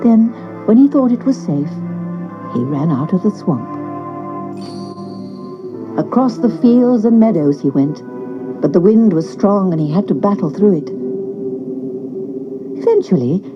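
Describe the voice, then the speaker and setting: A woman narrating a scripted children's story in an expressive storyteller's style. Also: mid-range voice, unhurried, long pauses, highs cut off, music in the background.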